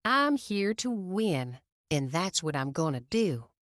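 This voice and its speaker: A woman voicing a cocky young male race car who speaks in a slow, smug drawl. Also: lowish voice, very animated, no echo, clean studio sound.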